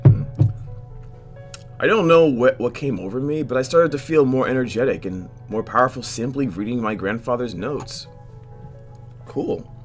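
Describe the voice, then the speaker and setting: A man hosting a game playthrough, reading visual novel narration aloud in an expressive way, stumbling now and then and dropping in joking asides. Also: mid-range voice, moderate pace, faint music behind. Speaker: host of a video game playthrough.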